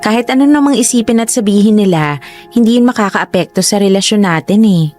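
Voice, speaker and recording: A woman playing a gentle, reassuring young sweetheart in a radio drama, with a soft conversational delivery. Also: mid-range voice, a little fast, natural voice. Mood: tender, reassuring. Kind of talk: Tagalog radio drama acting. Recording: music under the voice.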